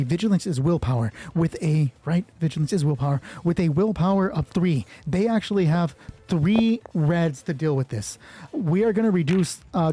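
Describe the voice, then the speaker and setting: A man improvising a solo tabletop roleplay as game master, excited, thinking aloud and swearing freely. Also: fairly high pitch, slightly rough. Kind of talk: improvised tabletop game narration with dice talk.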